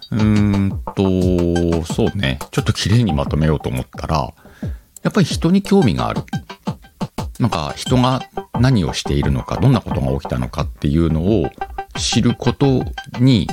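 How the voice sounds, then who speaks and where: A man hosting a podcast, chatting casually alone in an informal, playful way as he thinks aloud. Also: low voice. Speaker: podcast host. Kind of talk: casual solo chat. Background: music.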